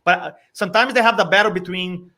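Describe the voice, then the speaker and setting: Animated Brazilian man in his fifties. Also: sits high, fast. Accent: Brazilian Portuguese. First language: Portuguese. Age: 50s. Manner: animated storyteller, acts out his own reactions. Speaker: communication coach. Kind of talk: casual interview chat.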